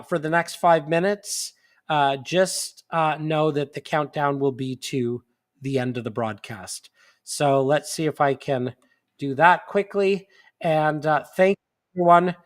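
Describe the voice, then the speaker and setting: Sniffly guy hosting a live stream, slow and rambly, lots of uhs, voice a bit high. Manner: rambling, with many fillers and restarts. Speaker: host of a monthly live stream. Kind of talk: casual live-stream chat.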